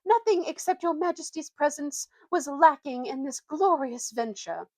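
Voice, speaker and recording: A woman's voice: animated, moderate pace, a little mellow, no room sound. A small, gallant male mouse from a storybook, acted out by a woman reader. The voice is piping and raised, with proud, courtly, ceremonious phrasing. It is recorded dry and close. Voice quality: shrill, piping. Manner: formal, ceremonious, clipped indignation.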